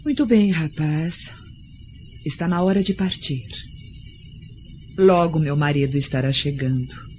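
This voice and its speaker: A woman's voice: sits low, unhurried, long pauses, a little mellow. A woman playing a venomous, unfaithful Roman wife in a melodramatic radio drama. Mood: sly, coaxing. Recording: dull lo-fi radio drama recording. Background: faint background music.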